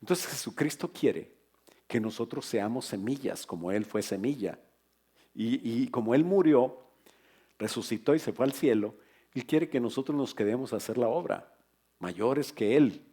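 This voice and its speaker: A middle-aged man, a pastor, preaching a lively sermon to his congregation in a church, with humor and asides to the listeners. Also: mid-range voice, moderate pace, slightly rough.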